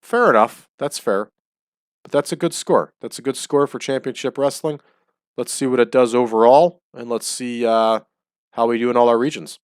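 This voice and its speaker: A man's voice: mid-range voice, normal speed, clean tone, dry recording. A man hosting a casual game playthrough, chatty and explaining his choices as he goes, his voice a little off and dry from the air in his home. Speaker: let's-play host. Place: small home room.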